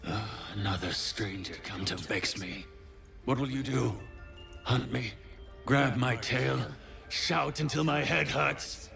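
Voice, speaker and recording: Slow, gravelly, irritable creature. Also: male voice, mid-range voice, music in the background. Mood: vexed sarcasm. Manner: sarcastic, weary, grumbling. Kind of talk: dramatic video game acting.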